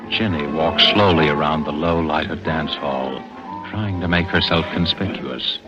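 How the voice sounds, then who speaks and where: An actor serving as the grave narrator of a 1940s-style radio suspense thriller. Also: male voice, rumbling low, measured pace. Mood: suspenseful. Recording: muffled vintage radio recording, music behind.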